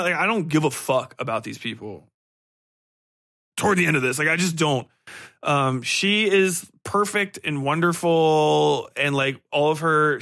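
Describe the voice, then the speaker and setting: Higher-voiced man, profane casual podcast rant. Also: pushed and bright, no room sound, studio quality. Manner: profane, slangy; irreverent, blunt ranting. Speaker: podcast host reviewing books. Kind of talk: casual rambling review monologue.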